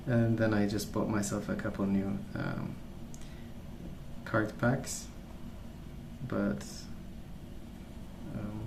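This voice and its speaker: A young man speaks softly in a lowish voice, as an ASMR creator rambling along. His manner is gentle and unhurried, as if thinking aloud. The room is echoey, with clear background noise behind him. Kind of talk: soft-spoken ASMR rambling.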